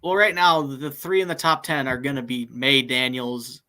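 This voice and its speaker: A man joins a casual podcast chat with a bright, forward voice. He states his views eagerly and firmly. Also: medium pitch, fairly even intonation, normal speed. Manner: eager, opinionated. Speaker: podcast co-host.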